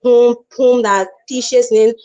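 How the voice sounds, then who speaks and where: A young woman with a Liberian accent speaks in a fairly high voice. She gives earnest, appreciative feedback as a participant on an online call. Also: steady tone, slow pace, darkish tone, muffled recording. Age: young adult. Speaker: training participant. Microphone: video call microphone.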